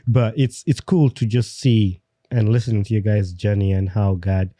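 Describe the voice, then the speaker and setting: A man hosting an interview podcast, supportive and chatty, with frequent short affirmations and halting restarts. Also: on the low side, steady tone, warm tone, no echo. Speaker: podcast host. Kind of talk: casual interview chat.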